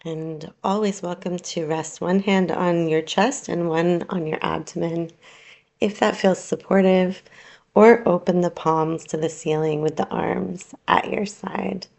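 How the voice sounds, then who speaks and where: Female meditation guide, heavy vocal fry, very even intonation, soothing delivery with long pauses. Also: fairly low pitch. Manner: soothing, unhurried, gently instructing with long pauses. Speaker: yoga nidra teacher.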